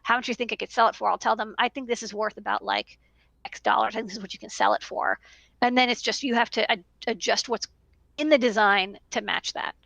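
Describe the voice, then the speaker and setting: A woman's voice: mid-range voice, lively intonation, fast, vocal fry, bright, forceful tone, no echo. A woman in her thirties chatting casually on a livestream through a headset, informal and emphatic, repeating words for stress. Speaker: electronics business owner giving advice. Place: small indoor room.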